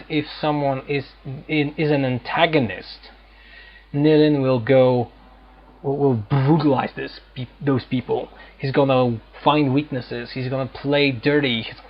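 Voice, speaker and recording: A man giving casual, unscripted gameplay commentary, explaining things haltingly as he searches for words. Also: medium pitch. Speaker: gamer hosting a playthrough. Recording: dull lo-fi mic, faint noise.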